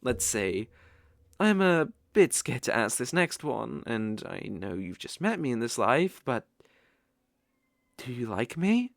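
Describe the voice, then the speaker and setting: A man's voice: medium pitch, big pitch swings, creaky, no room sound, studio quality, heavily acted. A British man playing a sly, possessive, lovestruck fox-spirit mage in an ASMR audio roleplay, coaxing and easily flustered. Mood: possessive, smug. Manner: coaxing, slow, with eager flustered outbursts.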